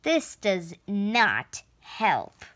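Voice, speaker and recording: A woman reading a children's story aloud with expressive, deliberate phrasing. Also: mid-range voice. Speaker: storybook reader. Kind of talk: children's read-aloud narration.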